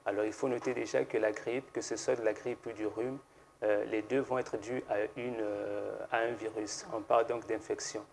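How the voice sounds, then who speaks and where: Give me a hesitant male pharmacist on a studio set, speaking in a flat, gravelly tone. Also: fairly low pitch, moderate pace, slight background noise. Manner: hesitant, many fillers and restarts. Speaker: pharmacist guest. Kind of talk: explanatory TV interview answers.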